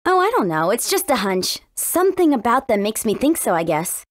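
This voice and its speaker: A woman with an American accent playing a spirited, earnest teenage warrior princess in an English video game, with expressive, emphatic delivery. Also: fairly high pitch, brisk, no room sound, studio quality. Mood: thoughtful, explaining.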